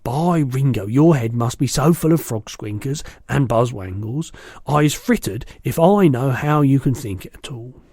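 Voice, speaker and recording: A man's voice: mid-range voice, animated, normal speed, a little mellow, breathy edge, no echo, studio quality. A man voicing a big, friendly old giant in a storybook, exuberant and indignant, exclaiming emphatically in muddled words and grammar. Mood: exasperated.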